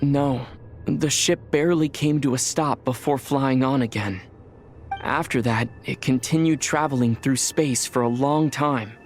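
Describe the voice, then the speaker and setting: A thoughtful young male traveler from a video game cutscene, voiced by an actor. He speaks quietly and with measured calm, pausing to reflect. His pitch is mid-range, with faint music behind. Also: close to an everyday voice. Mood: reflective.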